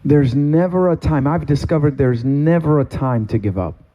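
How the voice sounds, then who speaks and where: A middle-aged man from Cuba, a pastor giving a sermon testimony from a stage, with slow, deliberate, emphatic phrasing. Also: fairly high pitch, dull audio. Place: stage in a meeting hall.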